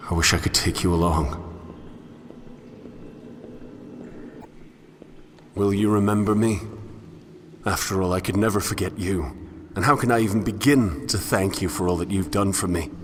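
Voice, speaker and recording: An actor playing a melancholy, introspective wanderer who narrates a game in a measured, reflective, literary manner. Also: male voice, sits low, a little hiss or hum, close to an everyday voice. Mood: tender, resolved. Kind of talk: scripted poetic game narration.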